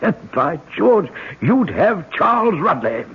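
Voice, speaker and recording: Stammering, fussy elderly doctor, tinny radio. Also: male voice, sits high, sing-song, takes it slowly, husky, full character voice. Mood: hesitant, earnest. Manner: hesitant, stammering. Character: fussy, flustered elderly English country doctor. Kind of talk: old-time radio drama acting. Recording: tinny, noisy vintage radio recording.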